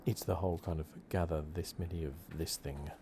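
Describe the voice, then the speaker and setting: Male ASMR gamer, extremely low hushed voice, noticeable noise behind him. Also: steady tone, a little fast. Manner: soft-spoken, hushed, drifting into whispers. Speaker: gaming channel host. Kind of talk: ASMR video game playthrough commentary.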